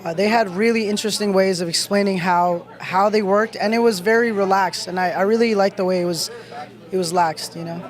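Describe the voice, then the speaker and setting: A relaxed young man chatting in an interview, peppering his answers with "you know". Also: high-pitched, fairly quick. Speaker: real estate agent, event attendee.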